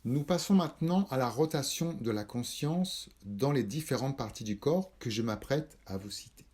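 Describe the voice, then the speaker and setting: A man leading a guided yoga nidra meditation, calm and measured, instructing in short spaced phrases. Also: medium pitch, breathy edge. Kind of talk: slow guided relaxation meditation.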